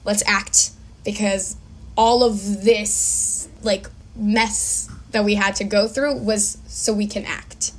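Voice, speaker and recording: A woman's voice: medium pitch, fairly even intonation, slow, a little hiss or hum. A woman speaking as an actor and producer guest in a casual interview, earnest and informal.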